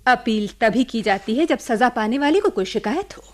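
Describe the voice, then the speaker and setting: A woman's voice: on the high side, expressive, normal speed, close to an everyday voice. An actress playing a proud, bitter young woman prisoner in a radio drama, curt, guarded and defiant. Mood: resigned, bitter.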